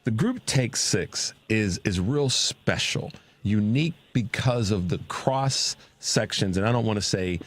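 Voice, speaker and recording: A man hosting a radio interview show in a studio, talking in an excited, casual way with lots of stammered restarts. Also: fairly low pitch, measured pace, few pauses. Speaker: radio host.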